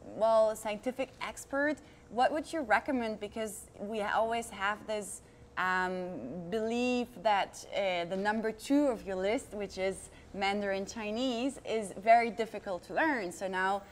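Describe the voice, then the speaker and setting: Mid-pitched woman interviewer, curious and rephrasing, faint room noise. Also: measured pace. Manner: curious, rephrasing questions with fillers. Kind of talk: conversational interview questions.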